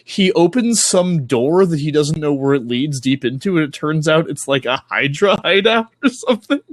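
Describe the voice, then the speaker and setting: A man chatting casually as a podcast guest, halting and thinking aloud, correcting himself and swearing offhandedly. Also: a little high, expressive, dry recording. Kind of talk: casual chat about comics.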